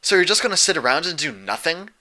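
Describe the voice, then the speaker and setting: A man's voice: on the high side, sing-song, fast talker, bright, forceful tone, pitched above the performer's own voice, full character voice. A man voicing a brash, impatient young female pegasus pony in a story, blunt and challenging. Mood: sullen defiance.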